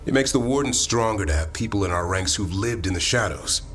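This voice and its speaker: An actor playing a wry, earnest warrior of an honorable fantasy order in a video game, with dry, measured delivery. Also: male voice, on the low side, expressive, fairly bright tone, soft background music. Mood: earnest, stirring.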